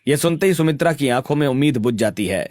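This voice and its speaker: A man narrating a scripted animated story. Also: mid-range voice, fast, no echo.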